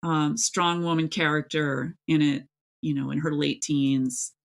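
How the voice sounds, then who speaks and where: A woman's voice: deep voice, fairly even intonation, a little slow, gravelly. A middle-aged woman from East Tennessee, an author talking casually and emphatically as an interview guest, telling things in a rambling way. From East Tennessee, United States. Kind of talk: casual, chatty interview talk.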